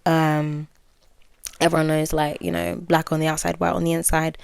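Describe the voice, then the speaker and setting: A woman's voice: sits low, flat delivery, normal speed, creaky, clear, resonant tone, no echo, clean studio sound. A woman aged twenty, well-spoken, hosting her own podcast and musing candidly, with fillers and self-corrections. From United Kingdom. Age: 20s. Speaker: podcast host. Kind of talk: reflective solo podcast monologue.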